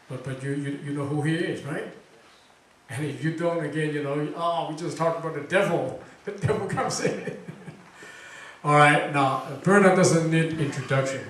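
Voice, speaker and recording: A man's voice: on the high side, slight background noise. A man hosting a talk event, chatting casually and quizzing the audience with lots of fillers. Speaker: event host introducing a speaker. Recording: very echoey room recording from far off.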